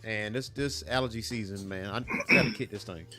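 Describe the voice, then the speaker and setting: Male co-host, bright tone, congested, easygoing rambling talk. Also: on the low side, normal speed, soft background music. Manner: laid-back, rambling, laughs easily. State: stuffy nose from allergies. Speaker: podcast co-host. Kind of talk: casual chat.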